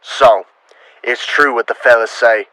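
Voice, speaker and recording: A man's voice: medium pitch, fairly even intonation, measured pace, bright, forceful tone, no room sound, poor audio. Western heavy, male actor: hot-headed American townsman out for revenge, tense biting voice, accusing, menacing, dry sound. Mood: threatening. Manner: accusing, menacing, hard-edged. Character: vengeful, hot-headed Old West townsman. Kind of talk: western radio drama acting.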